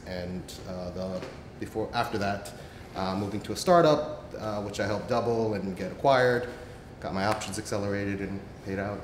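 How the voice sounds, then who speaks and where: A man with a lowish voice, speaking in an echoey room with clear background noise. He talks in a matter-of-fact way and pauses on fillers. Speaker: panelist. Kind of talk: panel discussion. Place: event room before an audience.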